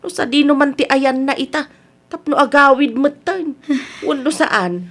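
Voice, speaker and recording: A woman's voice: high voice, unhurried, fairly bright tone. A woman playing a consoling middle-aged neighbour and family friend in a radio drama. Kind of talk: radio drama acting in Ilocano.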